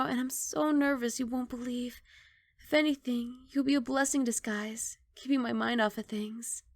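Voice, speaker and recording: A woman playing a young, sweet, apologetic giant dragon girl in an intimate ASMR roleplay, soft and coaxing with hesitant rambling. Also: sits high, no echo, clean studio sound. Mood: nervous, friendly. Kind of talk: ASMR roleplay, intimate one-sided dialogue.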